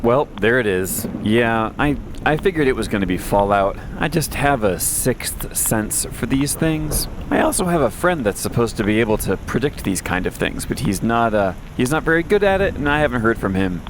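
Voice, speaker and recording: A man's voice: mid-range voice, sing-song, moderate pace, noisy background. A man playing a wry, weary post-apocalyptic radio host who rambles conversationally through a late-night audio drama monologue. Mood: wry, chatty. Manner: conversational, wry, rambling asides and self-corrections.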